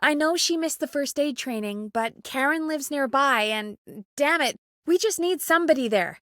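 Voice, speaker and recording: A woman's voice: sits high, lively intonation, normal speed, fairly bright tone, no echo, studio quality. A woman playing an earnest, urgent radio producer in a video game, conversational and pressing in naturalistic dialogue. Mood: pleading, frustrated.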